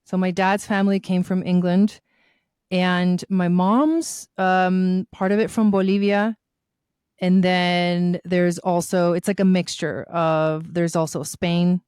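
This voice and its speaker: Woman from Argentina, podcast guest, lowish pitch, smooth clear tone, chatty and eager. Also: fairly even intonation, slow, a little mellow, dry recording, clean studio sound. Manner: enthusiastic, chatty, with frequent fillers and restarts. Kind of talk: casual conversational interview.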